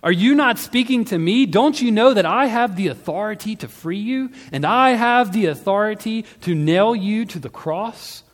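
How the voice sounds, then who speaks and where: A man voicing a commanding, exasperated male Roman governor in a dramatic reading, with declamatory, forceful questioning. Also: medium pitch, expressive, moderate pace, close to an everyday voice. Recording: long hall-like reverb.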